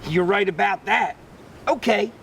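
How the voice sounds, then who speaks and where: A man's voice: a little slow, gravelly, fairly bright tone, heavily acted. A man voicing an eager, impressionable ten-year-old boy in a children's storybook, earnest in manner. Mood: hesitant, agreeable. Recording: distant mic, rumbly background noise.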